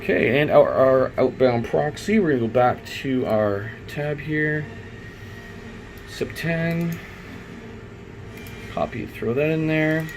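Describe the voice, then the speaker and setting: A man's voice: fairly high pitch, takes it slowly, long pauses. Man talking through steps, faint background hum. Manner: informal, thinking aloud. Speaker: IT installer giving a software tutorial. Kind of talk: unscripted step-by-step screen walkthrough.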